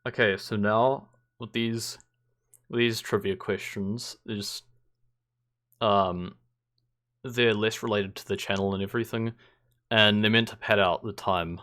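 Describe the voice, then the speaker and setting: A man's voice: on the low side, fairly even intonation, measured pace, pauses often, a bit bright. A young man hosting a podcast, chatting casually with short replies and the odd dry joke. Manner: laid-back, short replies, dry jokes. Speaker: podcast host. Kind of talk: casual chat.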